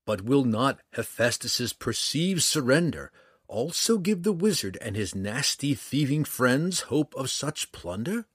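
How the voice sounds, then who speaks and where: A man voicing a cunning male dragon trapped in a dark elf's body, who pleads and argues in a dramatic way in a storybook reading. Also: mid-range voice, sing-song, measured pace, husky, dry recording, clean studio sound, pitched above the performer's own voice.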